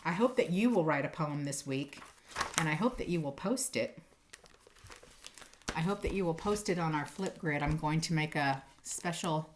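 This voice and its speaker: A woman's voice: sits low, slight background noise. A woman speaking like an encouraging teacher to children, reading poems expressively and chatting casually in a playful, enthusiastic way. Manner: playful, animated, emphatic on sound words.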